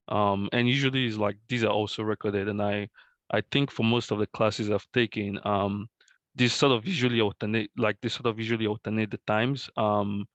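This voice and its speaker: A man from Nigeria talks in a low, even voice, easy-going and sprinkled with fillers. He is a graduate student answering webinar questions over a video call, and the sound is dry and close. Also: no echo. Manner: relaxed, many fillers like um and like. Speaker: current online graduate student.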